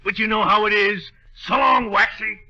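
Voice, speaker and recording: An actor playing a shady, folksy drifter with slangy street talk and a husky voice, in 1930s radio comedy style. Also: male voice, high voice, fairly even intonation, moderate pace. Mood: sly, pushy. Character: shady, folksy drifter hawking a shabby little house. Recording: dull vintage 1930s radio recording.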